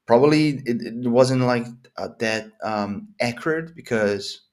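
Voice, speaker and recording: A man's voice: mid-range voice, measured pace, a little mellow. A man in his thirties, not a native English speaker, rambling through a casual coding session with lots of fillers and restarts, at a desk in a home office. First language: Portuguese or Spanish speaker; English not first language. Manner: rambling, thinking aloud with many fillers and restarts. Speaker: coding vlogger. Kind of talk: casual live screen-recorded coding commentary.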